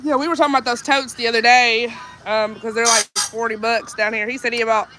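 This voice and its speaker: A woman's voice: fairly high pitch, flat delivery, quick pace, bright, forceful tone, a little hiss or hum. A woman with a stuffed-up nose, chatting and reading viewer comments aloud on a homesteading live stream. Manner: chatty, reads comments aloud, laughs easily. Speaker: homesteading vlogger.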